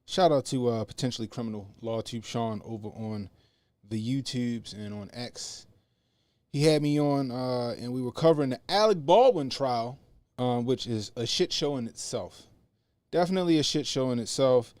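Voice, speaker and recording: A man hosting a casual political commentary livestream, talking loosely with slang and repeating phrases for emphasis, in what he calls a monotone voice. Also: medium pitch, normal speed, dry recording. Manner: self-described monotone voice. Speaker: livestream host. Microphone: podcast mixer setup. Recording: faint background music under the voice.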